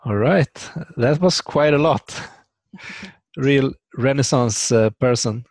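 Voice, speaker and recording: A man speaks as the host of an interview podcast, in a casual conversational style. His voice sits at a medium pitch and the sound is dry, with little room in it. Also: slow, a little mellow, dry recording.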